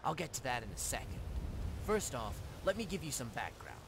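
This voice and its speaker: A sly crime fence from a fantasy video game, acted by a man, with a lively, swinging mid-range pitch. He speaks deliberately and conspiratorially, like business is being done on the quiet. There is heavy noisy ambience behind the voice. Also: creaky. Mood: businesslike, conspiratorial. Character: shady male fence and thief boss, sly, businesslike. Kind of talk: video game character dialogue.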